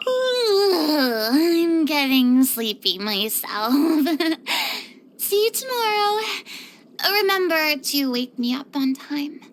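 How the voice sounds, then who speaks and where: A woman voicing a vain, pampered, theatrical young stage performer in a video game, with a haughty and expressive delivery. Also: high voice, drawn-out words, slight background noise, exaggerated voice. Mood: sleepy, whiny. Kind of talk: scripted video game character voice lines.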